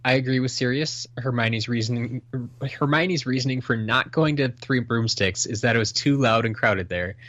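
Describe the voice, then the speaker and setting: A man's voice: medium pitch, moderate pace, few pauses, fairly bright tone, dry recording, highs cut off. A man co-hosting a casual podcast, reading listener emails and chatting with many restarts and fillers. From Washington State, US. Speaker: podcast co-host.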